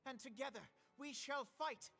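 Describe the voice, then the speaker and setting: Young male commander, high-pitched, idealistic, formal oratory, archaic wording, fantasy game. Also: normal speed, bright, forceful tone, full character voice. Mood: rousing, solemn. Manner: formal, lofty, archaic phrasing. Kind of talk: scripted game voice acting, oratory.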